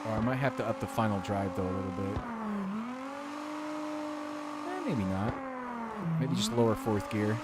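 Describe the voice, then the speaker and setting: A man's voice: fairly low pitch, big pitch swings, brisk. A man casually chatting to his stream while tuning and driving in a racing game, joking and talking to himself. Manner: rambling, jokey, self-talk while tuning. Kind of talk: casual gameplay commentary. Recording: noisy game audio behind voice. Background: racing game engine sounds.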